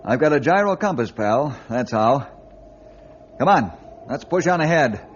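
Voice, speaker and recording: An American actor playing a calm, confident adventure leader in a 1950s radio serial, folksy, brisk and reassuring. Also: male voice, mid-range voice, natural voice. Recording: muffled old radio recording, noisy background.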